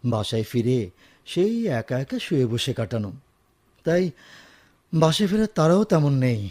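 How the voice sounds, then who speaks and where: An actor playing a weary, lonely office boss in a Bengali radio drama, speaking in a hesitant, reflective way. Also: male voice, medium pitch, big pitch swings, moderate pace, darkish tone, lightly acted.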